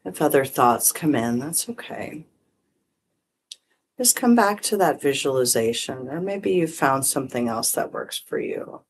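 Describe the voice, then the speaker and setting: A meditation teacher guiding breath and visualization in short, gently permissive phrases with long pauses. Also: mid-range voice, expressive, slight background noise. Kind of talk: guided meditation, unhurried instruction.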